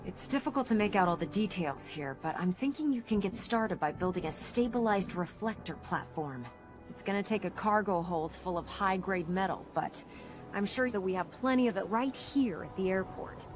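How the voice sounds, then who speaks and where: A woman's voice: mid-range voice, lively intonation, moderate pace, natural voice. A woman playing a blunt, wry, confident military engineer in a video game cutscene. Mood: brisk, confident. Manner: blunt, sardonic, businesslike. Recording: game audio under background music.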